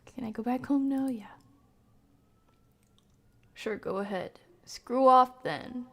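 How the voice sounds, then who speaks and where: Higher-voiced woman streaming a game, unhurried. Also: fairly bright tone. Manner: slangy, jokey, mild exclamations instead of swearing. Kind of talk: casual live gameplay commentary.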